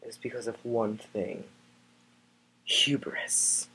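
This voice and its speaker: A man's voice: mid-range voice, lively intonation, normal speed, a little hiss or hum, lightly acted, low-quality recording. Man doing a vain boastful hero, comedic vlog parody, casual smug talk, lots of fillers. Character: vain boastful male Greek hero turned vlogger.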